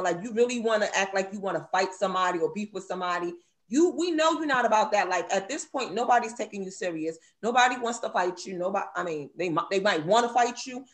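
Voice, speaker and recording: A woman from Brooklyn, hosting a casual live online panel chat with blunt, gossipy talk and frequent laughter. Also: medium pitch. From Brownsville, Brooklyn. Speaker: host.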